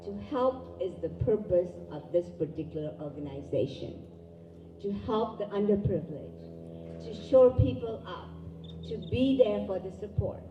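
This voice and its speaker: A woman whose native language is Urdu, speaking as an educator and emcee at a community event, giving a measured, formal welcoming speech to an audience. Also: medium pitch, sing-song, warm tone, highs cut off, a little hiss or hum. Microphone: distant room mic. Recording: echoey hall, voice far from mic.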